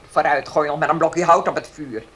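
Sardonic old estate matriarch, radio play, unhurried and low, faint hiss. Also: female voice, fairly bright tone. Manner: slow, hesitant pauses and dry chuckles. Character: sardonic, domineering old mistress of a country estate. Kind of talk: Dutch radio play acting.

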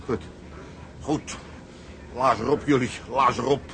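Desperate, cursing sea captain. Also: male voice, medium pitch, steady tone, measured pace, gravelly, a bit bright, a little hiss or hum. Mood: bitter. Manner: cursing, pleading, overwrought. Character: desperate, foul-mouthed salvage captain near breakdown. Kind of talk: Dutch radio drama acting.

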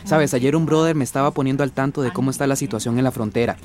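A man's voice: medium pitch, steady tone, quick pace, darkish tone, lightly acted. An actor playing a playful, slangy young man chatting with his mother in a radio drama. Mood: concerned, informative. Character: Beto, teasing young immigrant son chatting with his mother.

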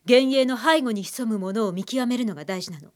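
A woman's voice: fairly high pitch, fairly quick, close to an everyday voice. Woman acting a cool, shrewd female profiler. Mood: cool, instructive. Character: composed, shrewd female criminal profiler and psychiatrist. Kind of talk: radio drama acting.

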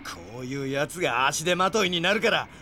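An actor playing a brash, hot-headed, proud swordsman in an anime audio drama, with rough, defiant speech that bursts into shouts. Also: on the high side, expressive, brisk, a little hiss or hum. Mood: bitter, furious.

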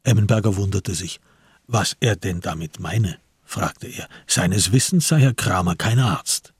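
A man reading scripted literary narration as the narrator of a radio play, with a husky voice. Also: low voice, expressive, a little slow, no echo.

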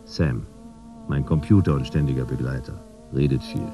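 An actor playing a weary, sardonic private detective who narrates and talks in laconic, dry lines in a radio drama. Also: male voice, extremely low pitch, fairly even intonation, normal speed, dark tone, faint music behind. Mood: sardonic narration. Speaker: narrator and lead character.

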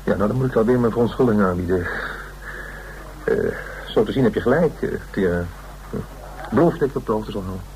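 A man acting in a Dutch radio play as a polite, hesitant client, speaking in a halting, conciliatory way. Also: lowish voice, darkish tone, natural voice. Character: hesitant, polite male client hiring an investigator. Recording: noisy radio play recording.

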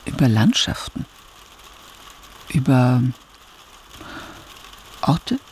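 Whimsical male conversation partner, unhurried, expressive intonation, radio play. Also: mid-range voice, a little hiss or hum. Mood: pensive.

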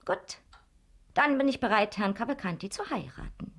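An actress playing a proud, independent banker's daughter in a radio drama, self-assured and pointed. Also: female voice, on the high side, lively intonation, normal speed. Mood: calculating.

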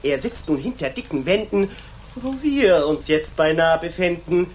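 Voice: mid-range voice, a little slow, a little hiss or hum, lightly acted. An actor playing a teasing court fool who talks in singsong rhymes in a radio play. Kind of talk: German radio play acting.

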